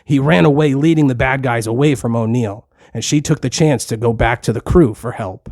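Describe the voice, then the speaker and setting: A man's voice: mid-range voice, moderate pace, darkish tone, no echo, clean studio sound. A man reading a scripted story for children as a narrator, in simple, plain language.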